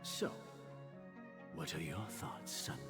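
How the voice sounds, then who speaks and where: Very deep, slow, fatherly mentor. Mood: calm, probing. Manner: measured, philosophical. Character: calm, enigmatic older paternal mentor. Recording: game audio with music behind.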